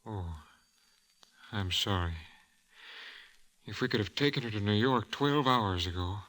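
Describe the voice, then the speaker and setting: An actor playing a gentle, apologetic country doctor who speaks haltingly in an old radio drama. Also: male voice, fairly low pitch, animated. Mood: sorrowful, awkward. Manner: hesitant, halting. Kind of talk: 1950s radio drama acting.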